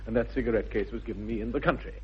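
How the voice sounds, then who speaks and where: An actor playing an exasperated, earnest upper-class English gentleman, clipped and pompous, in 1960s British radio comedy style. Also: lowish voice, very fast, husky. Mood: stiff, explaining. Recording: dull vintage radio recording.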